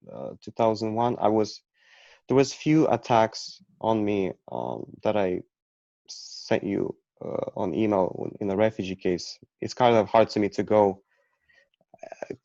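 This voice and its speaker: A man, talking as a guest about his own past in a halting way, with many restarts and fillers. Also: on the low side, a little mellow, no echo, dull audio. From Ukraine. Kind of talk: personal storytelling.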